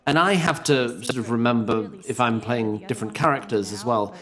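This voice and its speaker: Reflective man in casual commentary, medium pitch. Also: normal speed, a bit bright. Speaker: voice actor.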